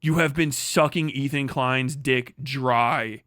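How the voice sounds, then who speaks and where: A man's voice: medium pitch, fairly even intonation, takes it slowly, fairly bright tone, no room sound, clean studio sound. A man livestreaming a casual, rambling rant, full of profanity and slang, often stammering over repeated words. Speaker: live streamer, online commentator.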